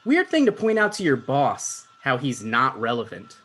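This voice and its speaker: Male, actor as vain, insecure host for a comedy table read; middle pitch, dismissive, quick to argue. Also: wildly swooping pitch, a bit bright. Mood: defensive. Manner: dismissive, argumentative. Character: self-important insecure male would-be talk show host.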